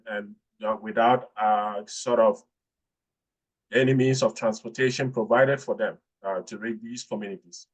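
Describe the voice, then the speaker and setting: A researcher from Ghana answering a webinar question, speaking haltingly with many fillers and restarts. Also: male voice, fairly low pitch, poor audio. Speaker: researcher presenting.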